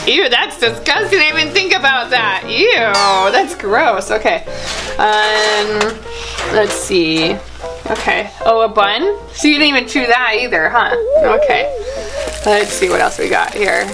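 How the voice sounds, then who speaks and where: A woman's voice: fairly high pitch, big pitch swings, a little slow, low-quality recording. A woman in her thirties chatting playfully in an improvised kids' skit, exclaiming in mock disbelief. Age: 30s. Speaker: kids' channel host acting as a doctor in a skit. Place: small indoor room. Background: music.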